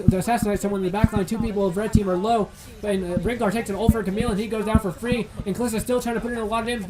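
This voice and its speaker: A young man with a raspy voice, casting an esports match play-by-play by himself, often restarting his sentences and getting louder when the fights break out. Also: high-pitched, background noise. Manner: frequent restarts and stumbles, rising to excited shouting in fights. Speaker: esports caster. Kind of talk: solo play-by-play shoutcast.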